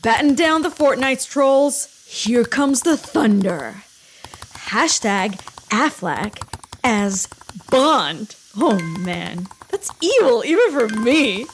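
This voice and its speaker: An actress playing a snarky, hot-headed young internet troll with excitable, exaggerated sarcasm in a comedic audio drama. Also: female voice, a little high, takes it slowly, slight background noise, heavily acted, poor audio. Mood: gleeful, scheming.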